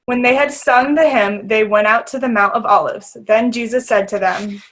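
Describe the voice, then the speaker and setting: A woman's voice: on the high side, moderate pace, fairly bright tone, low-quality recording. A woman reading scripted scripture narration as the narrator of a readers theater.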